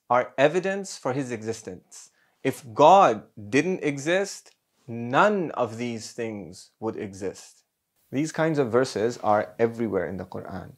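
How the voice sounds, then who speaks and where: Middle-aged male scholar, mid pitch, measured lecture with deliberate emphasis. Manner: measured, builds points step by step with emphatic pauses. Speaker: Islamic scholar and teacher. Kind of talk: explanatory lecture to camera.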